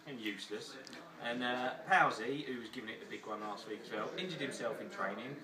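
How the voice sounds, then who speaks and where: A man's voice: medium pitch, fairly quick, far from the mic, a crowd in the background. A young English man, a football player, complaining bluntly about teammates in a dry, sweary way. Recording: roomy, from off mic, voices around.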